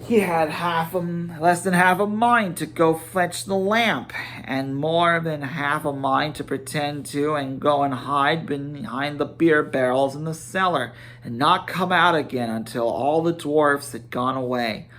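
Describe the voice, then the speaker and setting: A man's voice: on the high side, a little slow, a bit bright. A man reading a story aloud as a dramatic narrator, in scripted storybook style. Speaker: amateur audiobook narrator.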